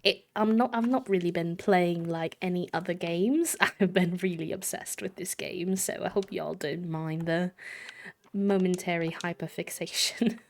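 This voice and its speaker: A woman's voice: on the low side, steady tone, measured pace. An American woman chatting casually on a game stream in a rambling, self-deprecating way. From United States. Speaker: live streamer.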